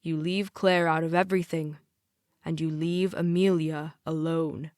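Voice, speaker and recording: A woman's voice: low-pitched, little pitch movement, a little slow, darkish tone, no echo. A stern father from an audiobook, acted out by a woman, controlling and commanding. The words come clipped and tightly held, with authority, in a very dry recording. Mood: cold, warning. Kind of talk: audiobook character dialogue.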